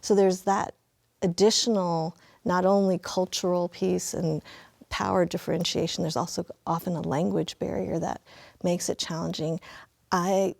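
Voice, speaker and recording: A woman sharing reflections on a panel discussion, speaking in halting restarts. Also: lowish voice, flat delivery, gravelly, a little mellow, no echo. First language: Mandarin.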